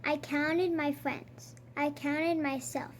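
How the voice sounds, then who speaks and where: High-pitched child reading a storybook aloud. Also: steady tone, slow, a little hiss or hum. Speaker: storybook reader.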